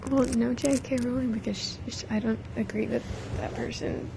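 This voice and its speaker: Soft-spoken, creaky young woman. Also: on the high side, brisk. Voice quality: breathy, often dropping into whisper. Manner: rambling, casual, slangy. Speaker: ASMR livestream host. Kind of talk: soft-spoken ASMR chit-chat. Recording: loud ambient noise.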